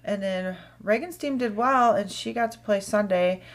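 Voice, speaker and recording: A woman co-hosting a podcast while she has a head cold, so she sounds a little congested. She tells her stories in an animated way, laughs often and restarts words. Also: mid-range voice, moderate pace. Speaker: podcast co-host. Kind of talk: casual chat.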